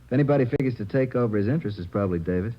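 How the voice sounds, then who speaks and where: A man's voice: medium pitch, very fast, close to an everyday voice. A man playing a laid-back, wry police detective in a radio drama, speaking casually. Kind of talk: 1940s-50s radio drama acting. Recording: dull, faintly noisy old radio recording.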